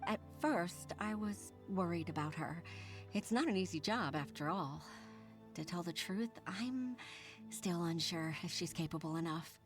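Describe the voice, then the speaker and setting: A woman's voice: on the low side, lively intonation, unhurried, soft background music. A woman playing an earnest, modest and respectful working mother in a video game, speaking politely and sincerely with some hesitation. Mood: tender, hopeful. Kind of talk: dubbed game dialogue acting.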